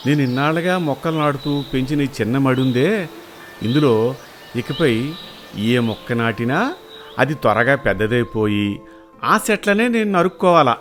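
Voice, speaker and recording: A man's voice: mid-range voice, animated, moderate pace, long pauses, fairly bright tone, soft background music, close to an everyday voice. A man playing a humble, good-hearted village woodcutter in a children's moral story, with a rustic, colloquial Telugu accent and an earnest, respectful manner. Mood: humble pleading. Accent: rural Telugu. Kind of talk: animated moral story acting.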